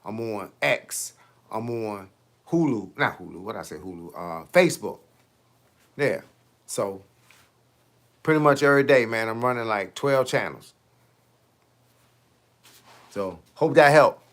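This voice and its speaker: A man's voice: on the low side, animated, measured pace, long pauses, fairly bright tone. A man in his late fifties, a fitness coach chatting casually on his livestream, slangy and talkative, answering viewers' comments with rhetorical questions. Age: 50s.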